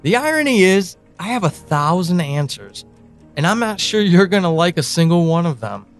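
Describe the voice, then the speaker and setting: A man playing a teasing, evasive confidant in an audio drama, speaking slowly and deliberately with dramatic pauses. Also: high-pitched, sing-song, faint music behind. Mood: taunting, ominous. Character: teasing, evasive confidant guiding someone through dark memories. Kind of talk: audio drama acting, intimate monologue and dialogue.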